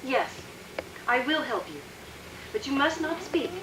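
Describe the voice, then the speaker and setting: A woman playing a solemn, fearful doctor in a radio drama, halting, dramatic and intense. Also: sits high, expressive. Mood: solemn, hesitant. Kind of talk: theatrical radio drama acting. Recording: noisy, echoey, distant radio recording.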